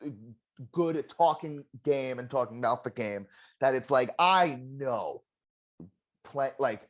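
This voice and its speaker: Animated, loud-voiced game contestant. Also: mid-range voice, unhurried. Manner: animated, self-deprecating storyteller. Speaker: eliminated contestant, juror. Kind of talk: casual exit-interview chat.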